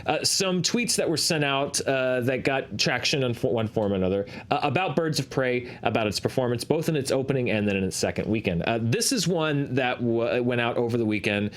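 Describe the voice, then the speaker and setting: A man hosting a box office show, giving conversational commentary in a measured, analytical, explanatory manner. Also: mid-range voice, animated.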